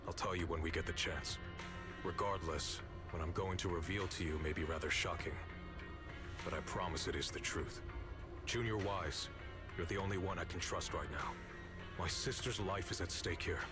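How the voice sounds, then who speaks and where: Male actor as solemn swordsman, very low voice, earnest, measured, formal, game dialogue over music. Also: close to an everyday voice. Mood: earnest, urgent. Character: grave, earnest older-brother swordsman with secret knowledge. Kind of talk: video game voice acting.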